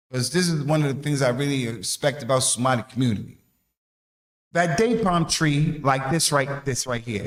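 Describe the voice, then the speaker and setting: A man with an American accent giving an informal sermon, emphatic and colloquial, repeating key phrases and posing rhetorical questions. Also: on the high side. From North America. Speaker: preacher giving a religious reminder to youth. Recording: echoey room.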